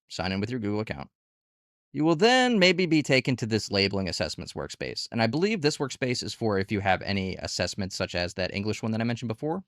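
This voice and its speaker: A man's voice: on the low side, a bit bright, dry recording, clean studio sound. A man hosting a conversational review and tutorial, speaking casually and candidly and posing rhetorical questions. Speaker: video host reviewing online gig work platforms.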